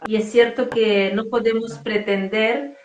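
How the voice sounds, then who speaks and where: A woman's voice: fairly high pitch, fairly even intonation, a little slow. Woman host, from Galicia, effusive and enthusiastic, echoey room, video call. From Galicia, Spain. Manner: effusive, enthusiastic, spiritual talk. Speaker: host, coach. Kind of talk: casual interview conversation.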